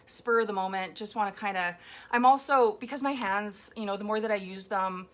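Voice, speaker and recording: Chatty, slightly hoarse woman crafting at home. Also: medium pitch, fairly quick, a bit bright. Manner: chatty, rambling, frequent ums. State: hoarse, raw throat. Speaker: collage artist. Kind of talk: casual commentary while making a collage. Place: home, indoors at a table. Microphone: overhead camera on a floor mount.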